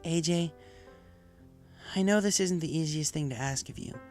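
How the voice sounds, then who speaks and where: A man's voice: a little high, moderate pace, darkish tone, faint music behind, pitched above the performer's own voice, natural voice. A man voicing a brash, earnest young female pegasus pony in a story reading, casual and heartfelt.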